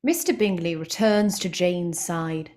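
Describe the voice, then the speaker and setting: A woman's voice: on the low side, measured pace, a little mellow. A woman reading stage directions as scripted dramatic narration.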